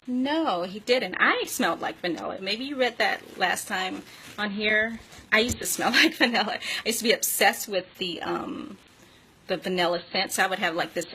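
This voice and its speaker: A middle-aged woman telling casual, giggly anecdotes as a vlog host, acting out quotes in an animated way. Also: medium pitch, slight background noise, low-quality recording. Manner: giggly, animated when quoting people. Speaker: vlog host and memoir author.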